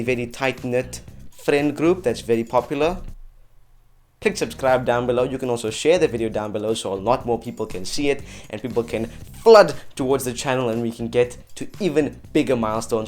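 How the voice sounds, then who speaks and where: A man hosts a how-to video with an upbeat, chatty delivery. His voice sits at an average pitch. Music plays softly behind him as he guides viewers through the steps. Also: a little fast. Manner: chatty, addresses viewers as guys. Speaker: host of an effects tutorial channel. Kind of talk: upbeat scripted tutorial narration. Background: background music.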